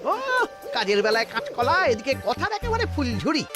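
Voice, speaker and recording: A blustering royal minister from a comic cartoon, with a very high, whining voice. He grumbles sarcastically and turns cowardly and stammering in fear, over prominent music. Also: big pitch swings, moderate pace. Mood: irritated, sarcastic. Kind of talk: Bengali comic cartoon acting. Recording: background music.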